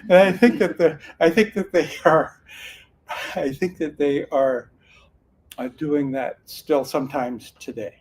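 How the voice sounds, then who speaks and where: A man's voice: on the high side, fairly even intonation, measured pace, a little mellow, a little hiss or hum. An older man from Northern California, a retired sheriff's officer who now does card readings, telling his story in an earnest, folksy way and often restarting sentences. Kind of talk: casual storytelling talk.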